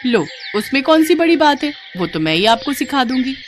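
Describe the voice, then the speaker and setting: A woman voicing an outspoken, practical village wife and mother with a brisk, homely manner in a cartoon. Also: high voice, very animated, slight background noise, voice pushed up. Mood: surprised, willing.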